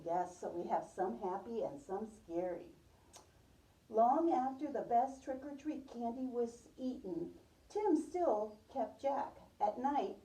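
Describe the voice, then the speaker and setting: Female preschool teacher, storybook read-aloud with questions. Slow, very mellow delivery, roomy distant pickup. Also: on the high side. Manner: addresses listeners as young children, repeats their answers. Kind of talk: picture-book read-aloud with questions to children. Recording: roomy, distant microphone, muffled top end.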